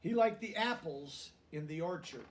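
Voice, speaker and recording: A man reading a children's story aloud and pausing to explain things simply, like a teacher with young listeners. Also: fairly high pitch, lively intonation, distant mic. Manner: pauses to explain words and check understanding in plain language.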